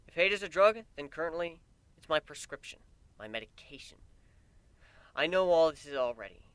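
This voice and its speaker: A man plays a brooding male griffin in a dramatic fan fiction reading. The voice is bright and forceful, with an intense, defiant edge. He sounds angry and world-weary. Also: a little high, animated, normal speed, smooth, no room sound, voice pushed up. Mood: impassioned.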